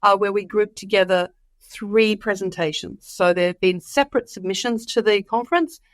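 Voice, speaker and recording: A woman's voice: mid-range voice, moderate pace, no echo. A woman, recovering from the flu so her voice comes and goes, chatting enthusiastically as a guest about a conference. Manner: enthusiastic, rambling with many fillers. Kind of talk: casual interview chat.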